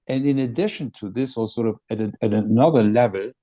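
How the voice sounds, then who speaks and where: A man making measured, analytical remarks in an online discussion, often pausing on fillers. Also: mid-range voice, dark tone, dry recording, studio quality. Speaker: discussion participant. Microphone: video call.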